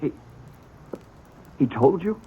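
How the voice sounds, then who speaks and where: A stubborn, protective frontier brother. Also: male voice, on the high side, lively intonation, takes it slowly, narrow, tinny audio, a little hiss or hum. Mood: angry, insistent. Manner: earnest, insistent, rustic frontier speech. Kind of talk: 1950s radio western acting.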